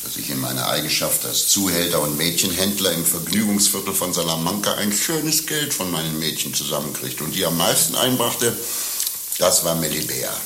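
A man playing a cynical, coarse pimp in a satirical radio play, bragging slyly with knowing pauses and mock tenderness. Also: low-pitched, lively intonation, bright, forceful tone, exaggerated voice. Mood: smug confiding. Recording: roomy, with faint hiss.

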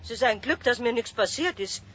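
An elderly woman, huffy and scolding, with a high, piercing voice that swings widely in outrage. It comes from a dull-sounding old radio play recording. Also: animated, fairly quick, fairly bright tone. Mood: scolding, aggrieved. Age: older. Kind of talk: children's radio play acting. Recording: dull, faintly noisy 1970s radio recording.

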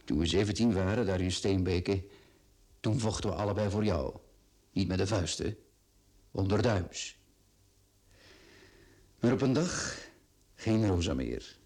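Low-voiced boss, a smooth talker. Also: male voice, unhurried, pauses often. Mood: familiar, flattering. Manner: teasing, flattering, bossy. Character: smooth-talking male boss, flirtatious and curt with staff. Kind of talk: radio play acting.

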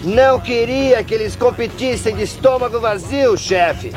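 An actor dubbing a goofy, dim-witted young dog groomer who chats and teases in a mock-scolding way. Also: male voice, very high-pitched, normal speed. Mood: eager. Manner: chatty, teasing, mock-scolding. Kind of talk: Brazilian Portuguese film dub. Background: film music.

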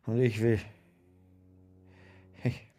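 An actor playing a world-weary, stubborn older uncle in a radio drama, husky and melodramatic in a brooding way. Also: male voice, mid-range voice, flat delivery, very slow, mellow. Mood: solemn, resolute. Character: world-weary ailing uncle, stubborn, quixotic civil servant.

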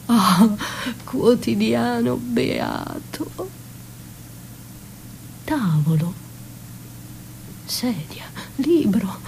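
A fragile, battered woman speaks in a high voice, very slowly, in broken, repeated fragments that sink toward whispers. She sounds desperate and lost, as in a solo radio drama, over a slightly noisy recording. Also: big pitch swings, vocal fry, darkish tone, full character voice. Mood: bleak, emptied. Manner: halting, fragmented, repeating words, sliding into whispers.

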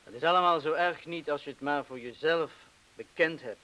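An actor playing a sceptical, self-deprecating former wartime bomb-disposal man in a radio play, with a measured, understated way of talking. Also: fairly high pitch, sharp tone, a little hiss or hum. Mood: philosophical. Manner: measured, understated, terse replies.

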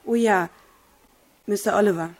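A woman's voice: medium pitch, unhurried, close to an everyday voice, rough recording. A woman playing a sullen, blunt schoolgirl in a radio crime drama, answering curtly. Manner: curt, blunt.

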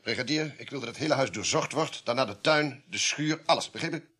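A man's voice: mid-range voice, brisk, fairly bright tone, slightly rough. A man playing a brusque, dismissive police inspector in a radio play, curt, formal and commanding.

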